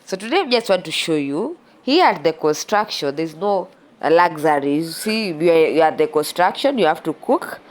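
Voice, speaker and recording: A young Kenyan woman with a Kenyan accent narrating her outdoor cooking vlog in a relaxed, chatty way, a little stuffy from a cold. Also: deep voice, normal speed. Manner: relaxed and chatty, with frequent "yeah" and restarts. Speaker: cooking vlogger. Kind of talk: casual voice-over narration of a cooking video.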